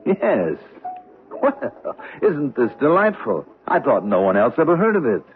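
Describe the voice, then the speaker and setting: An actor playing a smooth, genial executive with a hidden past, in a 1970s radio drama. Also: male voice, mid-range voice, huge pitch swings, measured pace, faint music behind. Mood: affable. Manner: smooth and affable. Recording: tinny old radio broadcast.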